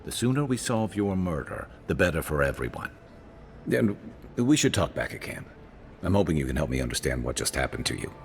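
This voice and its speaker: An actor voicing a dry-witted, loyal companion and guide in a video game, wry and matter-of-fact. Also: male voice, a bass voice, very animated, fairly quick, vocal fry, a little hiss or hum, heavily acted. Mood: reassuring. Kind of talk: video game character dialogue.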